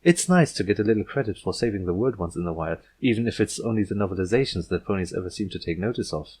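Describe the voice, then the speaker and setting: Guy doing a guarded lady pony author, offhand and dry, bit husky, cartoon story. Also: low-pitched, lively intonation, a little mellow. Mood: dryly pleasant. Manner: offhand, halting when cornered. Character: guarded, dry, distracted female pegasus pony adventurer-author.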